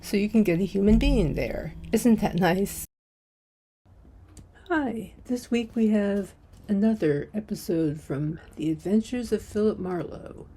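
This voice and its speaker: A woman's voice: mid-range voice, a little slow, dark tone, breathy edge. A woman hosting a podcast, reading a scripted introduction and sponsor message. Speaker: podcast host.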